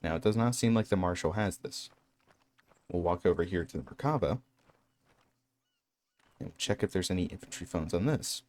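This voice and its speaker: A man's voice: sits low, expressive, moderate pace, long pauses, darkish tone. A man narrating an instructional game walkthrough in a matter-of-fact, explanatory way. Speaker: tutorial narrator.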